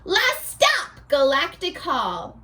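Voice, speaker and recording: A woman's voice: high-pitched, wildly swooping pitch, takes it slowly, pushed and bright, distant mic, heavily acted. A woman voicing an eager, earnest young prince in a children's storybook, with dramatic exclamations. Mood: inviting.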